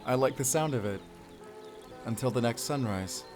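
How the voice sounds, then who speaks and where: An actor playing a devoted lover and gentle father, speaking softly and intimately in a cinematic audio roleplay. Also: mid-range voice, normal speed, over music, lightly acted. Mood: affectionate.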